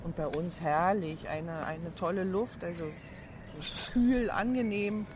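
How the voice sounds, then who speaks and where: Middle-aged woman, chatty and halting, recorded outdoors with street noise. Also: on the low side, steady tone. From Berlin, Germany. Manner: chatty, halting with restarts and fillers. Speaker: interviewee, resident. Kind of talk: casual chat. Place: outdoors by a high-rise block. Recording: outdoors with loud background noise.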